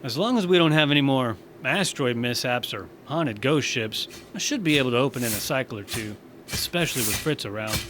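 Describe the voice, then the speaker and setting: Man playing a wry, animated young space barkeep. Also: medium pitch, brisk, noisy background, close to an everyday voice. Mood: easygoing. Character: easygoing young Martian man reopening a space station bar. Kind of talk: science fiction audio drama dialogue.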